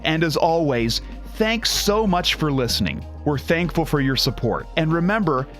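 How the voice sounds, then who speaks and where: A man's voice: fairly high pitch, sing-song, normal speed, a bit bright, soft background music. A man playing an upbeat, awkwardly cheerful corporate outreach spokesman, earnest and over-enthusiastic in a scripted comedy podcast announcement. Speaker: company spokesperson hosting customer testimonials.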